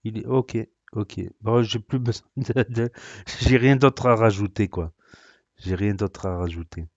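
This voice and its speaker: A man's voice: fairly low pitch, fairly even intonation, measured pace, no echo, dull audio. Sarcastic man doing dry reaction commentary. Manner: sarcastic, rambling, lots of hesitations. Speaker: reaction video host.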